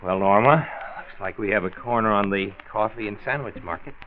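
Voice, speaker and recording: Guy playing folksy american health commissioner, old forties radio show, deep-ish voice, muffled transcription. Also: fairly quick, slight background noise, close to an everyday voice. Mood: concerned, wry. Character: genial folksy county health commissioner and husband. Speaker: narrator and lead actor of a health radio serial. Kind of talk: 1940s radio acting and narration. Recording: dull vintage 1940s radio transcription.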